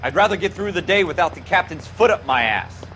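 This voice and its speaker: A man's voice: high voice, lively intonation, fairly quick. An American man playing a wary, wisecracking police patrolman in a video game, sardonic and griping in a colloquial way. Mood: mocking. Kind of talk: video game dialogue acting. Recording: loud music and car noise behind.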